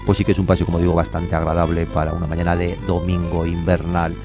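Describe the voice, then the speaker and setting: A man's voice: low voice, little pitch movement, fast talker, over music. A man chatting informally and enthusiastically as an environmental educator on a radio show, piling up descriptive words. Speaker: environmental educator, guest contributor. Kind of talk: informal radio chat recommending walks.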